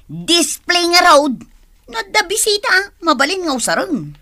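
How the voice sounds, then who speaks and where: Snooty bragging woman, high swoopy bright voice, ilocano radio drama, scolding. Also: very animated, moderate pace, heavily acted. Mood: indignant, snooty. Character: haughty, boastful woman proud of her costly belongings.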